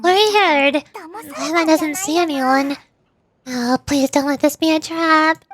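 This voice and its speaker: High-voiced woman streaming a game. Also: slow pace. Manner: playful, rambling. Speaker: streamer, VTuber. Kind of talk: casual gaming livestream chat with voiced dialogue.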